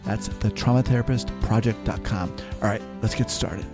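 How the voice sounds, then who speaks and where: A man hosting an interview podcast, with an upbeat, energetic delivery when introducing guests. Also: fairly low pitch, moderate pace, over music. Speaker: podcast host.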